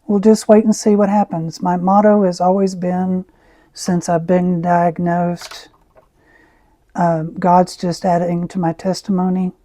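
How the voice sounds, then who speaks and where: A woman with a raspy voice, who often clears her throat as she chats through an art tutorial. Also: lowish voice, no echo. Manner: slow, soft-spoken, frequent throat clearing. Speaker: art channel host. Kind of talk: casual art tutorial chat.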